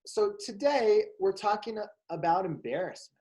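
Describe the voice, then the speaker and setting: A man hosting a psychology show for kids, speaking in a slow, calm, encouraging way. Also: sits high. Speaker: show host. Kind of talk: gentle talk to children.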